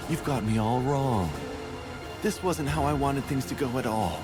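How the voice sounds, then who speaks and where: A man's voice: medium pitch, expressive, measured pace, lightly acted. A man voicing a sly, flirtatious villain who relishes chaos, teasing and suggestive, in a theatrical video game dub. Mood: smug, musing. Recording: loud game music behind.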